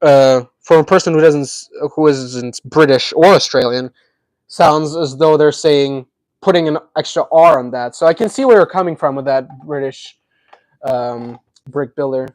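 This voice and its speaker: A man's voice: a little high, normal speed, darkish tone, no room sound, low-quality recording. A man, a native Russian speaker with a slight Russian accent, hosting a casual livestream. He chats while building, laughs often and answers viewers' comments. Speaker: livestream host.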